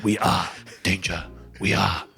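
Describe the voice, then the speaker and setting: A solemn old martial arts master, played by a man, speaks low and gravelly. He delivers sage, portentous lessons in a tabletop comedy, with music behind him. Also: fairly even intonation, measured pace, bright, forceful tone, full character voice. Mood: solemn, mystical. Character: solemn old monk master teaching his pupil.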